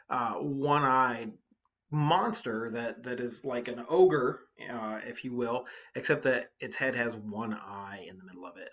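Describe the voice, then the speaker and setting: A man's voice: mid-range voice, sharp tone. A man teaching an English lesson, reading aloud and explaining in an informal, conversational way with asides.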